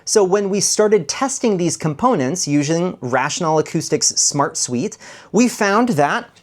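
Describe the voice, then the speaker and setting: A man's voice: high-pitched, animated, unhurried. A man presenting an explanatory tutorial as an audio engineer, talking step by step in an instructive way. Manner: instructive, step-by-step, checks in with "okay?".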